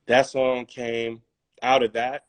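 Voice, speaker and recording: A young man, a musician guest in a casual interview, telling his story in a halting way full of restarts and fillers. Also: medium pitch, level tone, no echo.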